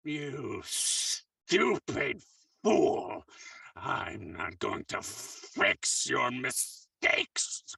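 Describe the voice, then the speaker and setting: Gravelly, spiteful old miser. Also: male voice, medium pitch, wildly swooping pitch, sharp tone, no echo, studio quality, recorded from a distance, exaggerated voice. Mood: scornful. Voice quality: gravelly, raspy. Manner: menacing, cackling, drawn-out delivery. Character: spiteful, gloating old miserly uncle with a pet raven. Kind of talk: 1940s horror radio acting.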